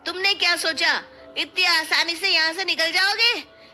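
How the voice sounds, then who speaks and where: Female performer as indignant male village elder, cartoon story, high, loud, scolding, music underneath. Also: normal speed, heavily acted. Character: stern, indignant village headman confronting cheats. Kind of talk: cartoon moral story voice acting.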